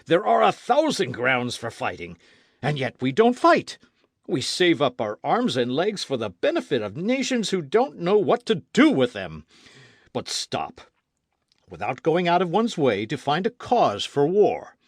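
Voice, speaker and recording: Storybook part: a fiery, indignant old veteran, a man puts him on, loud and swooping. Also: a little high, huge pitch swings, unhurried, dry recording, clean studio sound, voice pushed up, heavily acted. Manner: bellowing, indignant. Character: fiery elderly male gun-club veteran.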